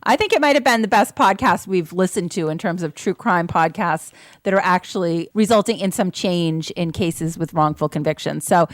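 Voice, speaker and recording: A woman's voice: on the low side, moderate pace, dry recording, studio quality. A woman speaking as a panelist in a casual podcast chat.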